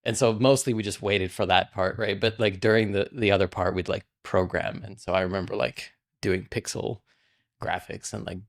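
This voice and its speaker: Man in his forties from Russia, gravelly voice, rambling podcast guest, lots of "like". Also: on the low side, steady tone, moderate pace. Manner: rambling, fills speech with frequent "like". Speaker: podcast guest, game programmer. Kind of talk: casual interview chat.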